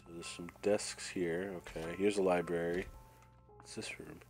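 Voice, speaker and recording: A man commentating casually while playing a prison game, his voice raspy and nasal from a cold, thinking aloud as he plays. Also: deep voice, level tone, unhurried, darkish tone. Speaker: gameplay commentator. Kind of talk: casual let's-play commentary. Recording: faint game music behind.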